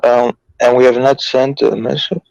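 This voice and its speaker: A man's voice: mid-range voice, fairly even intonation, moderate pace, gravelly, a little mellow, dry recording, highs cut off. A man from Turkey calling into a debate, giving short, hesitant replies. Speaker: caller in a debate.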